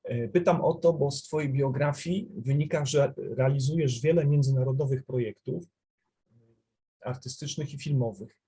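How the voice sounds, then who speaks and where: A man interviewing a guest with measured, polite questions and pauses between phrases. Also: mid-range voice, mellow, husky. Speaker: festival TV interviewer.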